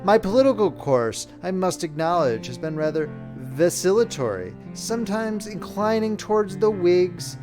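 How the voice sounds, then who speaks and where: A man voicing the careworn old year, a world-weary elder sister in a storybook, speaking gravely and heavily. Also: fairly high pitch, close to an everyday voice. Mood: rueful. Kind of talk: storybook character reading.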